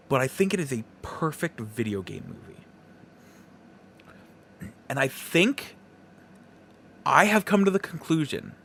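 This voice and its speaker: A man hosting a podcast, giving casual solo opinions in halting, broken phrases with emphatic stresses. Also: fairly high pitch, a bit bright, slight background noise. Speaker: podcast host.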